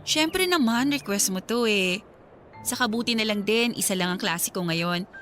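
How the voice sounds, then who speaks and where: A woman's voice: mid-range voice, lively intonation, normal speed, fairly bright tone, soft background music, close to an everyday voice. An actress playing a devoted, anxious young Filipina student girlfriend in a radio drama, pleading and affectionate. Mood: tender, anxious. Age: young adult. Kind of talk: Tagalog radio drama acting.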